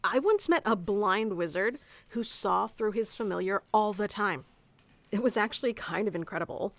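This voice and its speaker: A woman's voice: mid-range voice, lively intonation, moderate pace, close to an everyday voice. A woman playing a bossy, geeky tsundere wizard teacher who explains things informally and smugly in an ASMR roleplay. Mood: proud, explanatory. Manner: informal, smug, flustered asides, explanatory. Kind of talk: scripted ASMR roleplay audio addressed to the listener.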